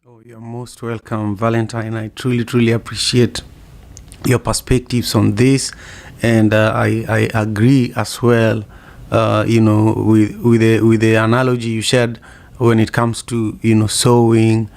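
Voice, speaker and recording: Male host, gracious, gravelly, low and flat. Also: a little slow. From Kenya. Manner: gracious, appreciative, with repeated words. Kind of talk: hosting a live audio discussion.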